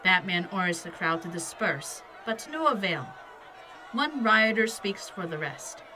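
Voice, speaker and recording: A woman's voice: on the low side, big pitch swings, a little slow, bright, forceful tone, some chatter behind, slight background noise. A woman narrating an audio drama from a script as its storyteller.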